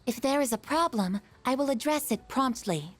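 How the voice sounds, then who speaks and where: An obedient android girl in a video game, fairly high and lilting in pitch. Her phrasing is formal, precise and stilted, with faint music underneath. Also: expressive, moderate pace. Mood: calm, dutiful. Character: obedient, literal-minded female android girl.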